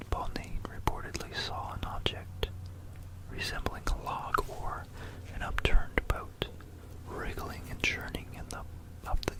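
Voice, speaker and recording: An ASMR host telling history in a breathy, unvoiced whisper, meandering with playful asides to the listener, close to a binaural microphone. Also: low-quality recording.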